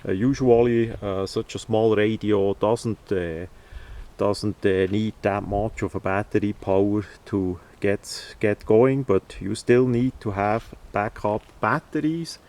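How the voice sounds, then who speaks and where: Guy doing a casual how-to, deepish voice, really slow, stops mid phrase a lot. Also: steady tone, darkish tone. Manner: halting, hesitant, pausing mid-phrase. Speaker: presenter of a how-to video. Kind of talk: informal instructional demonstration.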